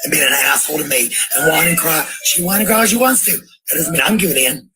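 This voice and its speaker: A woman's voice: low-pitched, animated, fast talker, over a noisy crowd. A woman arguing and ranting on a home livestream in a blunt, confrontational way, swearing freely. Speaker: livestreamer and parent. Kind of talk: heated argument and ranting to stream viewers.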